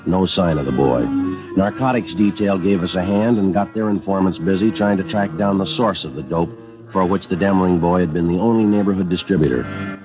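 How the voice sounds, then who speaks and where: An actor playing a calm, terse police detective who narrates his case in a clipped, deadpan radio drama style. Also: deep voice, a little fast, natural voice. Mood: matter-of-fact. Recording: dull old radio recording, music underneath.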